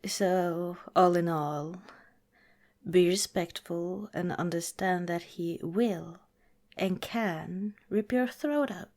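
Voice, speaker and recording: A woman playing a protective vampire girlfriend in an ASMR roleplay, speaking softly and deliberately, partly in a whisper, earnest and cautioning. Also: lowish voice. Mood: earnest, solemn. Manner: soft, deliberate, breathy whispering in places.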